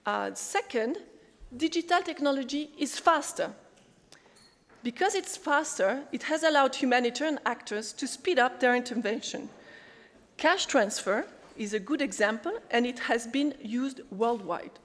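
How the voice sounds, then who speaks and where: Woman moderating a conference panel, slightly high voice, lots of uhs, big hall echo. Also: lively intonation. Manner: halting, with many fillers and restarts. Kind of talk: conference talk, prepared remarks. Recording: echoey hall with long reverb.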